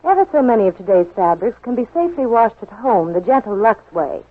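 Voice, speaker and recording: A woman's voice: medium pitch, expressive, a little fast, slightly rough. A woman giving chirpy, instructive household tips in a scripted 1940s radio advertisement. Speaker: sponsor's spokeswoman. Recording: muffled old 1940s radio broadcast.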